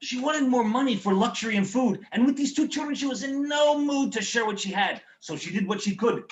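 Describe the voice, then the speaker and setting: A man's voice: extremely high pitch, lively intonation, fairly quick. A man playing a bumbling, eager, tipsy German fairy-tale writer, hesitant and stammering, in a comedic stage show. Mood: storytelling. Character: Jacob Grimm, bumbling, tipsy, eager German storyteller brother. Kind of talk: comedic stage patter and rhymed storytelling. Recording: roomy, echoey home recording.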